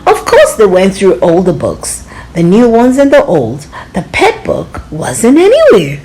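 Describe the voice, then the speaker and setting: A woman reading a children's storybook aloud in an expressive, dramatic way, drawing out words for young listeners. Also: mid-range voice, a little slow, rough recording.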